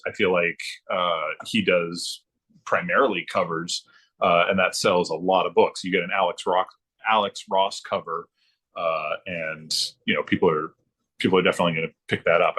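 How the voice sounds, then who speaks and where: A middle-aged man reminiscing casually, thinking aloud in a halting, informal way. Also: sits low, fairly even intonation, a bit bright, slightly rough. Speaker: guest, former comics production worker turned librarian.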